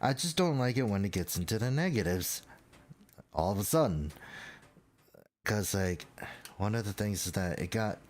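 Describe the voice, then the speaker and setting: A man's voice: lowish voice, lively intonation, moderate pace, pauses often. A young man with a stuffy nose, chatting casually and rambling with lots of fillers while building a model kit. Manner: rambling, self-deprecating, full of fillers.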